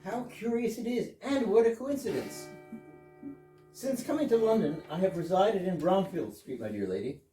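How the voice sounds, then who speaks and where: A formal, puzzled gentleman husband from an absurdist stage comedy, played by a man, with a mellow voice that swings widely in pitch. He is deliberate and mock-astonished, heard from a distance in a roomy space. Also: expressive, faint music behind. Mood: polite amazement. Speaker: amateur readers theater actor. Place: live stage reading in a room. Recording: roomy, distant mic with clear noise.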